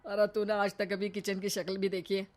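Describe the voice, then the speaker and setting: A woman voicing an eager, flirty middle-aged father in a cartoon story, quick to get defensive. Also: medium pitch, little pitch movement, darkish tone, natural voice. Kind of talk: cartoon voice acting.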